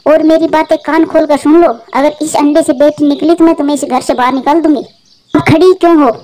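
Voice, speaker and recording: A woman's voice: high-pitched, steady tone, a little fast, dark tone, close to an everyday voice. A woman playing a domineering, scolding old mother-in-law bird in a Hindi cartoon. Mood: angry. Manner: bossy, threatening.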